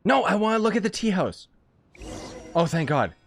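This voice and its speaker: A young man doing casual live game commentary in an excitable, playful, slangy way. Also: sits high, moderate pace, a little hiss or hum. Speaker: game streamer. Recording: game music behind the voice. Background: game music.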